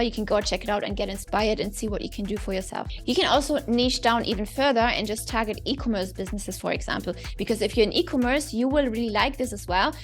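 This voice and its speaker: An upbeat woman in her thirties with a German accent talks straight to camera, explaining with bright enthusiasm and leaning on "right?" to keep the listener with her. Her voice sits a little high, with soft music under her words. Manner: upbeat, emphatic, often tags "right?". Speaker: business coach and YouTube host.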